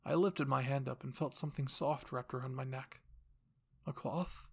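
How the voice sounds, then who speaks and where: A male narrator reads a dramatic first-person story. His voice sits at a middle pitch, delivered as a scripted voice-acting performance. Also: fairly even intonation, normal speed, creaky, darkish tone, husky. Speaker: narrator and voice actor.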